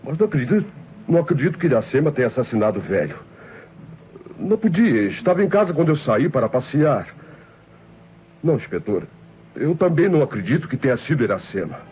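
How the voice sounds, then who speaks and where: An actor playing an anguished but dignified middle-aged Brazilian father accused of murder, earnest and halting, in an old radio drama. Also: mid-range voice, big pitch swings, a little mellow, natural voice. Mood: anxious, earnest. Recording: muffled, noisy old radio recording.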